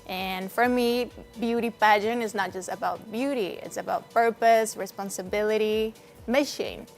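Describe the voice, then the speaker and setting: A woman's voice: mid-range voice, normal speed. Young brazil-raised woman chatting on a tv show, mixes in filipino words, faint music behind. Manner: mixes English with Filipino phrases, friendly. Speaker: beauty queen guest. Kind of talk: talk show interview. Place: TV studio.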